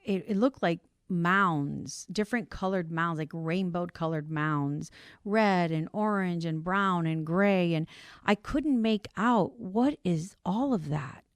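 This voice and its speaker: Earnest woman guest, warm storytelling. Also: fairly low pitch, slow pace, dry recording. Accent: Cuban Spanish. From Cuban family, grew up on the US East Coast. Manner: earnest, emotional, lively when amused. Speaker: podcast guest, insurance brokerage CEO. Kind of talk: conversational interview storytelling.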